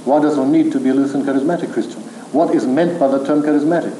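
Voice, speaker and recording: A man lecturing on theology to a room, measured and firm, answering questions from the floor. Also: medium pitch, fairly even intonation, dark tone. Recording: echoey room recording with faint hiss.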